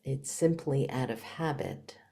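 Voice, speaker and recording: A woman leading a guided meditation as a teacher, speaking in short, hushed phrases with long pauses between them. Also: rumbling low. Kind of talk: guided meditation, spiritual talk.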